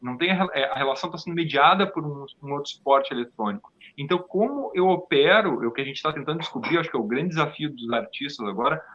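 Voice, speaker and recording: A man with a fairly high, bright, pressed voice speaks as an interview guest. He is thoughtful and halting, feeling for words as he goes. The recording sounds a bit muffled and lo-fi. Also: lively intonation. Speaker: theatre performer, interview guest. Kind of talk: conversational interview answer.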